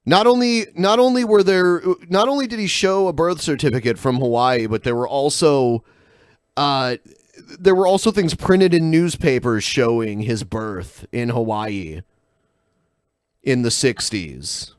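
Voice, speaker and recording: A man's voice: mid-range voice, unhurried, dry recording, studio quality. A man co-hosting a casual podcast, exasperated and dismissive, swearing freely. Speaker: podcast co-host.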